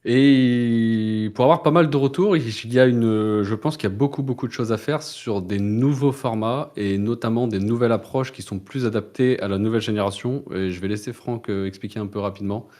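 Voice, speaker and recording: A French man with a low voice speaks on a podcast. He talks at a measured pace with some hesitation, and his tone stays casual. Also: a little mellow. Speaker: podcast co-host.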